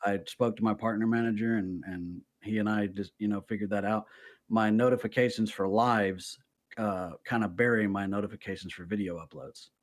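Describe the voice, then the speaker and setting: A man's voice: fairly low pitch, fairly even intonation, normal speed. A man from Florida co-hosting a casual podcast, talking in a friendly, enthusiastic way with many restarts.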